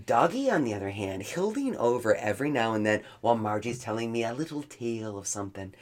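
A middle-aged woman co-hosting a podcast, telling a long funny story in a casual, animated way, stumbling over words and jumping into quotes. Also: a bass voice, fast talker. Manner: animated, jokey, lots of restarts and quoted voices. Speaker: podcast co-host.

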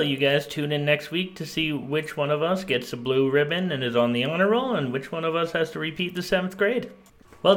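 A man's voice: on the high side, a bit bright. A young man co-hosting a casual podcast, talking in an upbeat, joking way. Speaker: podcast co-host.

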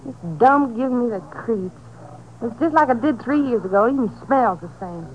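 A woman's voice: fairly high pitch, lively intonation, normal speed, lightly acted. An actress playing a brash, bossy young woman bandit from rural Texas in a radio drama, with a Southern American accent and slangy, tough talk. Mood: scornful, scheming. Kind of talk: 1950s radio drama acting. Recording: noisy, muffled old-time radio recording.

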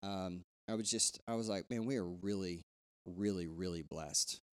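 A pastor with a bassy, clear and resonant voice. He talks his congregation through the week's news in a relaxed, rambling way, with plenty of fillers and restarts. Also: moderate pace. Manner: loose and conversational, many fillers and restarts. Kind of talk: church announcements before a sermon.

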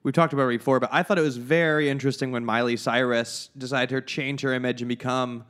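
A man co-hosts a podcast in a loose, conversational way. His voice sits at a middle pitch, and he floats dry opinions as if he is still working them out. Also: steady tone, fast talker, fairly bright tone. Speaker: podcast co-host. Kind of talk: casual chat.

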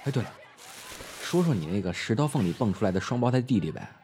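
A young man acting a breezy, teasing high school student in a modern audio drama, talking casually and playfully. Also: big pitch swings, fast, mellow, slight background noise.

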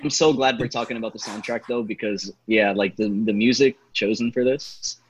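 A man's voice: fairly low pitch, moderate pace, husky, rough recording. A thirty-year-old man co-hosting a podcast in casual chat, laughing often and breaking into song. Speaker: podcast co-host.

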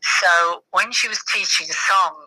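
A woman's voice: fairly low pitch, a little slow, sharp tone, breathy edge, dry recording, low-quality recording. A middle-aged woman from the west of Ireland with an Irish accent, reflecting on personal experience as a podcast guest in hesitant, thoughtful phrases.

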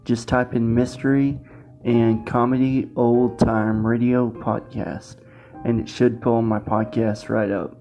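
A man's voice: medium pitch, level tone, slow, dark tone, soft background music. A man hosting a podcast, speaking informally to listeners in a casual sign-off style. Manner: informal, addresses listeners as guys. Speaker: podcast host.

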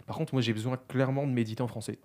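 A man's voice: mid-range voice, steady tone, rapid-fire, a little mellow. A man co-hosting a podcast, chatting casually and at length, with many fillers and asides. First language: French. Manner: talkative, self-deprecating, many fillers. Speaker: podcast co-host.

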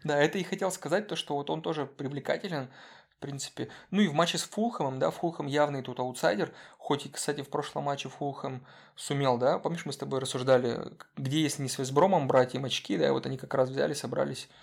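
Man, gravelly voice, casual football chat, hesitant with fillers. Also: on the high side, quick pace. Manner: hesitant, many fillers and restarts. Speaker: podcast co-host.

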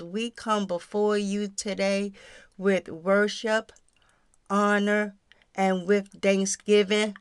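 A woman hosts a faith podcast with an earnest, exhorting delivery. She takes her time over each phrase, at a medium pitch, speaking straight to her listeners as a devotional teacher would. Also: clear, resonant tone, no room sound, clean studio sound. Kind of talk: devotional talk and prayer.

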